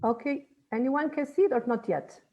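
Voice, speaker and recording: Woman presenting haltingly. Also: high voice, dark tone, lo-fi sound. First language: Albanian. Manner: halting, searching for words in a second language. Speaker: project presenter. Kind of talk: online info session presentation. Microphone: video call.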